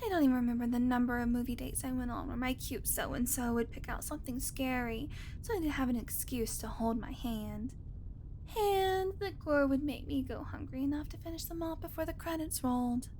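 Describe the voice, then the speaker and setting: A snarky female vampire played by a woman in an audio roleplay, with a fairly high voice. She complains theatrically and teases in a casual, sweary way. A noticeable rumble sits behind her. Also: moderate pace, darkish tone, lightly acted. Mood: playfully menacing. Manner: sarcastic, teasing, dramatic complaining, casual swearing. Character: snarky, irreverent female vampire road-trip passenger, teasing and bored. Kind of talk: audio roleplay acting, conversational monologue to the listener.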